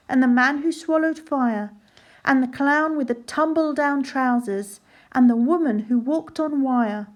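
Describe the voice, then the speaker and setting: High-pitched woman reading a children's story. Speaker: storybook narrator. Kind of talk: children's rhyming story read aloud.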